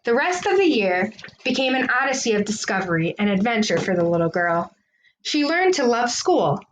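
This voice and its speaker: A woman reading a children's storybook aloud, with expressive, deliberate phrasing. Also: fairly high pitch, slight background noise, rough recording. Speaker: storybook reader.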